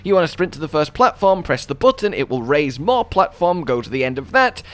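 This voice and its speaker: A man's voice: a little high, big pitch swings, fairly quick, fairly bright tone. A man giving an enthusiastic video game guide voice-over in a chatty, wordy, playfully dramatic way.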